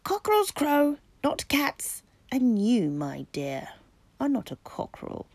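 A woman's voice: sits high, huge pitch swings, slow pace, a little mellow, no echo, voice pushed up, full character voice. A woman voicing a pompous, scolding male cockerel in a storybook, haughty and emphatic.

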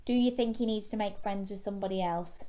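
A young woman reading a children's storybook in a gentle, expressive way, chatting with young listeners through questions. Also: medium pitch, fairly quick. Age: young adult. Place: small bedroom, indoors.

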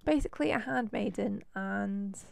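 A woman with a cold, hoarse and losing her voice, chatting casually as she shows off characters in a life-sim game. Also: mid-range voice, normal speed, a little mellow. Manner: chatty, rambling with fillers. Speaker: let's play host.

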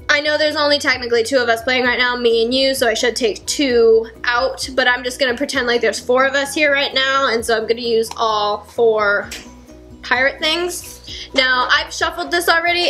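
A young woman in her twenties casually explaining board game rules at home, chatty with lots of fillers, her voice a little hoarse. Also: high-pitched, a bit bright. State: slightly hoarse. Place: home kitchen, indoors. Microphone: fixed camera at table.

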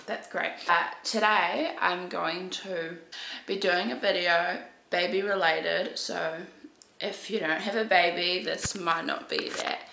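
Croaky young woman vlogging at home. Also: lowish voice, steady tone, slow, bright, forceful tone, a little hiss or hum. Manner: chatty, rambling, lots of "um" and "so". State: croaky from a cold. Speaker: parenting vlogger. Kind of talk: casual vlog talk to camera. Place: bright open-plan living room and kitchen. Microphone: handheld camera at arm's length. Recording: echoey room.

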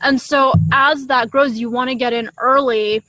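A woman's voice: high voice, steady tone, normal speed, bright, forceful tone, no echo, poor audio. A young adult woman co-hosting a podcast in casual conversational style, recorded outdoors on a shared desktop microphone. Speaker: podcast co-host. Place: outdoors in a leafy garden. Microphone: shared desktop condenser microphone.

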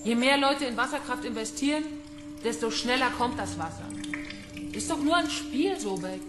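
Woman playing a high-voiced, taunting kidnapper. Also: expressive, moderate pace, loud music behind the voice, lightly acted. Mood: mocking. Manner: mocking, menacing. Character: cold, taunting female kidnapper, a vengeful daughter. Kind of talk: crime radio drama acting. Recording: echoey room.

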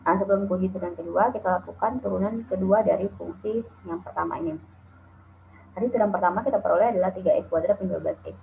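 Gravelly low woman lecturing over slides. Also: fast talker, a little hiss or hum. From Indonesia. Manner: steady explaining with frequent "eh" and "nah". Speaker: calculus lecturer. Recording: tinny phone-like lo-fi audio.

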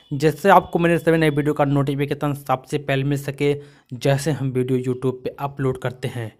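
A man from India narrating a step-by-step phone tutorial as its host, in a repetitive, instructional way. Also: on the high side.

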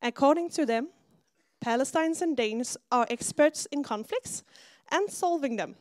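A woman hosting an event, reading a scripted introduction of the next speakers in a slow, deliberate way. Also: high-pitched, animated, a little mellow, dry recording, studio quality.

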